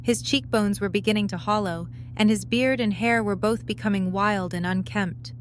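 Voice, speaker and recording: A woman's voice: mid-range voice, moderate pace. A woman reading scripted third-person narration for an audio drama. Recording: clear background noise with hum.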